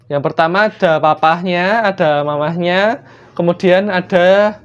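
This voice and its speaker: Young storyteller, Javanese-Indonesian accent, medium pitch, casual and jokey, chatty asides, lo-fi muffled sound. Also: unhurried, clear, resonant tone. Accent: Javanese-influenced Indonesian. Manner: playful, joking, chatty asides. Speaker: storyteller, channel host.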